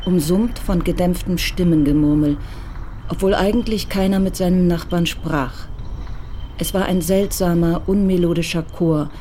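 A woman giving calm, measured scripted narration for a radio crime drama. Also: lowish voice, a little hiss or hum.

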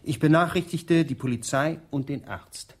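An actor playing a stiff, ultra-polite butler in a radio play, with a breathy voice and precise wording. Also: male voice, a little high, moderate pace. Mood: formal. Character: stiff, formal male butler.

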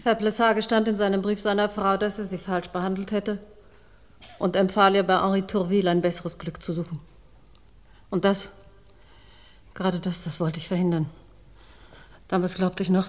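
A woman playing a distressed forty-year-old secretary confessing as a witness in a radio crime play, halting and faltering. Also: on the low side, close to an everyday voice. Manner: halting, faltering, repeating herself. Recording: long reverberant courtroom hall.

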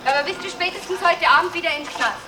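A woman's voice: extremely high pitch, fast talker. An actress playing a defiant, streetwise young woman with coarse slangy talk, desperate and mocking, in a gritty radio drama. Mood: scornful, pleading. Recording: distant, over loud background noise.

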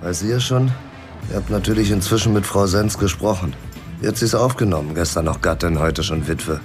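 An actor playing a hungover, insolent private detective in a radio drama, wry and flippant in delivery. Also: male voice, low-pitched, normal speed, over music, close to an everyday voice. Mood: sardonic. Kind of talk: German radio drama acting.

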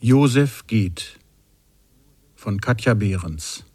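Low-voiced man announcing radio credits. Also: animated, slow, a little mellow.

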